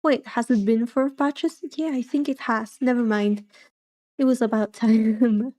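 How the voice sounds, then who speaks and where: A soft-voiced woman livestreamer chatting casually in a relaxed, wry way as she reacts and muses aloud. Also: fairly high pitch, unhurried. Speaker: livestreamer, vtuber.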